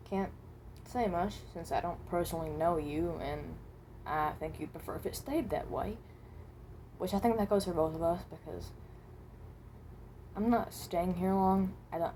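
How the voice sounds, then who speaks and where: A woman voicing a reluctant, resigned animatronic rabbit from another dimension in a fan roleplay, speaking haltingly and correcting herself. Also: on the low side, dark tone, slight background noise. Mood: polite, guarded. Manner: hesitant, halting, self-correcting.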